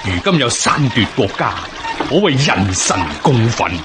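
Resolute ancient rebel general, Hong Kong Cantonese, animated, formal, water noise behind. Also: male voice, medium pitch, unhurried. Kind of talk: historical radio drama acting.

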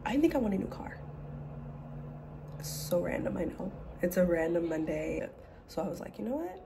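A woman's voice: fairly low pitch, very animated, normal speed, vocal fry, background noise. A woman vlogger talking casually and informally to her viewers, with chatty asides in a girly manner.

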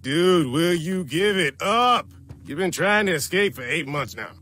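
Bright, exasperated young hero. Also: male voice, fairly high pitch, animated, unhurried. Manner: exasperated, casual.

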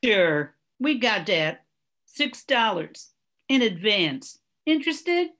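A woman's voice: medium pitch, sing-song, slow pace, fairly bright tone. An older woman playing a bored, blunt, dry motel day manager in an old-time radio drama.

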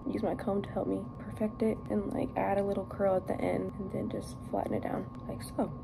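A woman's voice: mid-range voice, level tone, brisk, gravelly. A young American woman chatting casually and playfully in slang, as a vlogger getting ready in a hotel room. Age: young adult. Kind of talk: casual get-ready-with-me vlog chat. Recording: noisy room ambience.

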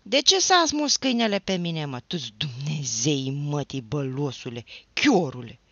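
A man shouting as a furious young village lad. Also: on the high side, no echo, natural voice. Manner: shouting, rapid, pleading in anger. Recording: dull, dry lo-fi recording.

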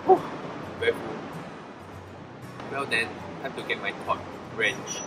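A man in his thirties with a Singaporean accent, chatting casually for a vlog in a halting way, often repeating his words. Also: mid-range voice, over loud music, low-quality recording. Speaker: vlogger. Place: outdoors, by a street. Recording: loud outdoor street and traffic noise.

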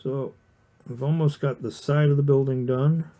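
A man with a mellow, warm voice talks through a watercolor demonstration in a casual livestream. He rambles freely, full of fillers and restarts, and explains each brushstroke plainly as he paints. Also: mid-range voice, steady tone, moderate pace. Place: indoor studio with overhead camera on the painting desk.

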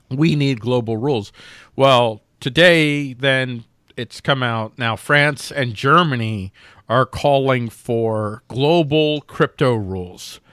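A man's voice: mid-range voice, bright, forceful tone, dry recording, studio quality. A man hosting a political talk show over a video call, making his case earnestly and restarting phrases as he thinks aloud. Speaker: show host covering news stories.

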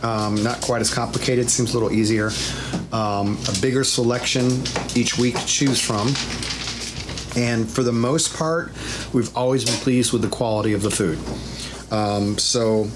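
A man's voice: mid-range voice, unhurried. Man, middle-aged, casual food-review chatter, home kitchen, noisy camera audio. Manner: relaxed, chatty, many ums. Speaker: vlog host. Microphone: fixed camera mic at mid distance.

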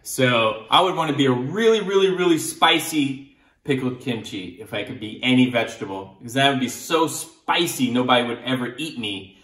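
A man in his thirties, a children's author chatting to kids in an animated storytelling style. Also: mid-range voice, moderate pace, roomy sound.

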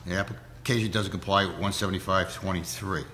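Brief: hoarse, low-voiced man, folksy meeting chair, faint room hiss. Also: steady tone, brisk, bright, forceful tone. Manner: plain-spoken, folksy, many fillers. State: hoarse with a cold. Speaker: zoning board chair. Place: town hall meeting room.

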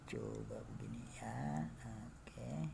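A man narrating an informal hands-on demo, halting and muttering to himself as he works, recorded indoors on a handheld phone. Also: lowish voice, wildly swooping pitch, vocal fry, background noise. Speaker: hobbyist electronics builder demonstrating circuits. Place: indoor home workbench.

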